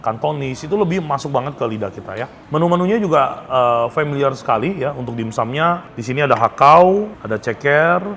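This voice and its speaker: A man's voice: medium pitch, lively intonation, fairly quick, few pauses, a bit bright. A man with an Indonesian accent giving a casual food review, chatty and addressing viewers as friends. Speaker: food vlogger host. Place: restaurant. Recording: clear background noise, restaurant ambience.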